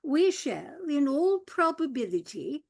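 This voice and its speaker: A woman playing a pompous, slow-moving male butler in a radio play, solemnly complaining of his aches. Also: sits high, big pitch swings, gravelly, a little mellow, heavily acted. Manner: ponderous, solemn, complaining about ailments.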